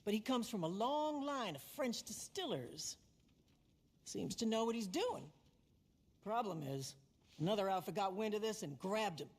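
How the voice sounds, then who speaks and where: A tough Southern moonshine boss. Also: female voice, medium pitch, animated, measured pace, darkish tone. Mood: scheming, determined. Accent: American South. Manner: plainspoken, dry, folksy. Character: tough, vengeful older female moonshine boss, shrewd and commanding. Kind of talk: cinematic game dialogue.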